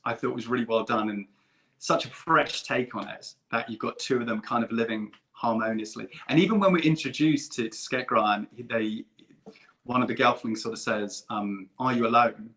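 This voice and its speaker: A man's voice: mid-range voice, normal speed, bright, forceful tone. A man chatting as a guest on a video call, enthusiastic and full of restarts. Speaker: guest puppeteer. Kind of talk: casual video call chat.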